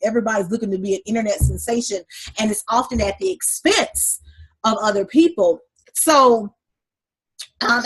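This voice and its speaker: A woman co-hosting a casual talk show, animated and sassy, calling listeners honey. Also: medium pitch, slightly rough, rough recording. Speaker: podcast co-host. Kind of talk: casual chat.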